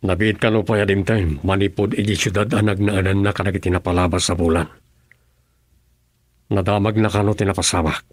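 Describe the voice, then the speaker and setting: Male actor, low-voiced aide, matter-of-fact, dry close sound. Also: steady tone, fairly quick, dry recording. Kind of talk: radio drama acting.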